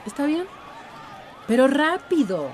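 An actress playing a guarded but tender activist in a radio drama, brisk and easily flustered. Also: female voice, sits high, sing-song, a little mellow, a little hiss or hum, full character voice.

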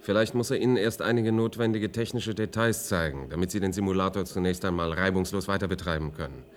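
A man's voice: deep voice, quick pace, lightly acted. An actor playing a reassuring, reasoning man in a German science-fiction radio play. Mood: calming, insistent. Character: reassuring, reasoning male companion of an anxious woman.

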